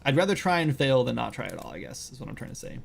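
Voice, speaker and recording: A man's voice: medium pitch, very animated, fast talker, creaky, faint music behind. A man in his late thirties, chatting casually on a game development livestream in a rambling, self-deprecating way full of fillers. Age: 30s. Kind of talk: casual livestream chat while working.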